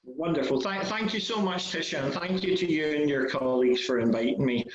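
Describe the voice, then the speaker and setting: A man's voice: fairly high pitch, a little fast. A middle-aged man with a mixed Scottish and Northern Irish accent, giving an academic talk over a video call in a self-deprecating, hesitant way with frequent restarts. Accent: Scottish and Northern Irish mix. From Scotland. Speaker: guest lecturer. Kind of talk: academic presentation. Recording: echoey room, dull lo-fi call audio.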